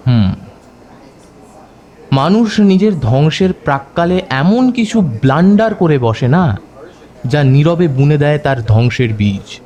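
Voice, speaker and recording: A man's voice: on the high side, animated, a little slow, pauses often, warm tone. A man playing a confident, secretive young detective in an audio drama, with a knowing, conversational manner. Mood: musing. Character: confident, secretive young male detective among his friends.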